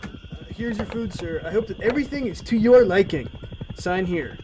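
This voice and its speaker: A man's voice: high voice, normal speed, darkish tone, close to an everyday voice. A man playing an eager, hesitant young room service boy in a radio drama parody, with a noisy background. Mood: eager, polite. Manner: hesitant, polite.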